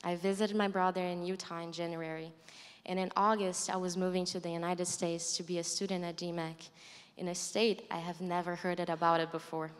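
Young woman, Brazilian accent, lowish voice, earnest and measured, handheld mic, long reverb of a big hall. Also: flat delivery, clean tone. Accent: Brazilian Portuguese. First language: Portuguese. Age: young adult. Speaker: student giving a testimony. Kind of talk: reading a prepared testimony aloud. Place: large dark stage hall.

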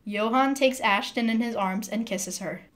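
A woman's voice: on the high side, moderate pace. A woman narrator reading scene headings and stage directions in scripted narration.

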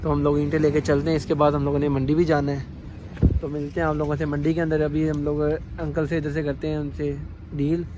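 A man's voice: on the high side, little pitch movement, a little fast. A young man with a Pakistani Punjabi accent, talking casually to camera as a vlogger. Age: young adult. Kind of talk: casual vlog talk to camera. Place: outdoors by roads, brick yards and shops. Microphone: phone at arm's length. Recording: clear outdoor traffic and ambient noise.

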